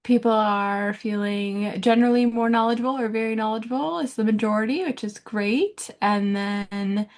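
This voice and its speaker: A woman's voice: fairly high pitch, normal speed, hardly any pauses. A woman moderating a webinar on a video call, giving friendly, thankful closing remarks. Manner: friendly and thankful, wraps things up.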